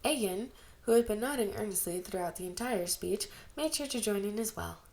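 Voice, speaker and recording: Lady reading out fanfic like an amateur audiobook, mid pitch, trips on a word now and then. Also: expressive, fairly quick, darkish tone. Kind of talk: scripted narration of fan fiction.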